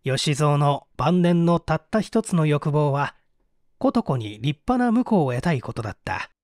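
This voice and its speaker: A man's voice: on the high side, expressive, a little slow, a little mellow, no room sound, clean studio sound. A man reading a mystery story aloud as dramatic scripted audiobook narration. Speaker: audiobook narrator, stage actor.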